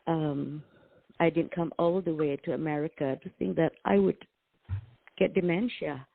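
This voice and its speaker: A woman from Malaysia with a Malaysian accent, speaking as a co-host in a halting way, searching for words. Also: deep voice, fairly even intonation, gravelly. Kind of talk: casual interview chat. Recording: phone-like video call audio.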